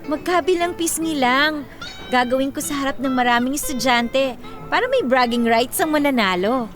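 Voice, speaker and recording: A high, youthful female voice from an actress plays a self-assured college beauty in a Filipino radio drama. She is expressive and playful, breezy in her delivery. Music runs clearly behind her. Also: moderate pace. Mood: bold, playful. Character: confident, playful young college woman, rich beauty queen.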